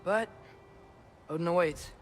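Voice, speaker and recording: Wry plucky young apprentice, somewhat high voice, clear music behind. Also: male voice, a little slow. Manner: wry, dry, self-talking. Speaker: game character. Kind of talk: video game cutscene acting.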